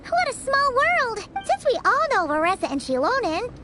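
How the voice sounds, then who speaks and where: A woman's voice: extremely high pitch, sing-song, moderate pace. A woman voicing a tiny, chirpy and blunt fairy companion in a video game. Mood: cheerful. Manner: perky, refers to self in third person. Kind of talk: video game character dialogue. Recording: game music behind voice.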